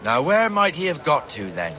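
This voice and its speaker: A man's voice: fairly high pitch, huge pitch swings, normal speed, sharp tone, slight background noise, heavily acted. A man voicing a blunt, bossy town watchman in a medieval video game, with a chatty, hectoring manner. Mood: wry, pressing. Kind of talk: dramatic game cutscene dialogue.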